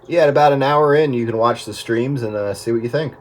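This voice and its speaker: A man giving casual technical advice on the radio in a halting way. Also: mid-range voice. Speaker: radio hobbyist with recording background. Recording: radio chat with faint static.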